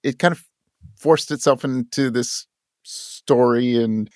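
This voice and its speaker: A man being interviewed about his writing, talking in a thoughtful, halting way and voicing his own thoughts as quotes. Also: mid-range voice, no echo, clean studio sound. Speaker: interview guest, audio drama writer.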